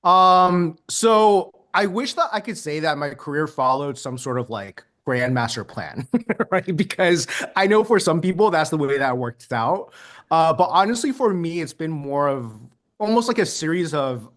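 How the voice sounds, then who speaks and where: Earnest higher-pitched man, light laughs. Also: expressive, moderate pace, fairly bright tone. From United States. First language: Mandarin. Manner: earnest, laughs lightly between points, many ums. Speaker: guest. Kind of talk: reflective interview answers.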